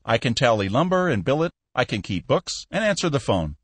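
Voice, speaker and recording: A man's voice: mid-range voice, very animated, a little fast, breathy edge, no echo, pitched above the performer's own voice. Man playing eager young ex-soldier, upbeat, earnest, slightly raised voice, dry close sound. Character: cheerful young male war veteran seeking work.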